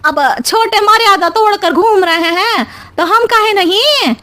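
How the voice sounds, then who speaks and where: A woman's voice: a little slow, pushed and bright, a little hiss or hum, full character voice. A woman voicing a jealous, meddling elder sister-in-law in a family tale, wheedling and nagging. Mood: indignant. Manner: shrill, wheedling, nagging, exaggerated alarm.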